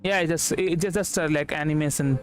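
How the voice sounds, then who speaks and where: A man giving casual game commentary, reasoning aloud in a halting, repetitive way. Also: fairly high pitch, music in the background. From India. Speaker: vtuber game streamer.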